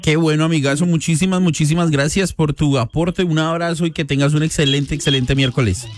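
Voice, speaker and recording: A man's voice: a little high, fairly even intonation, fairly quick. A man with a Colombian accent hosting a casual live radio chat, chatty and informal with plenty of slang. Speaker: radio host.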